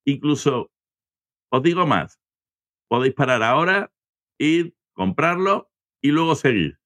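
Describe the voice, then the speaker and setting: A man's voice: fairly high pitch, lively intonation, unhurried, a bit bright, dry recording. A man hosting an interview podcast in a conversational way, introducing and questioning a guest.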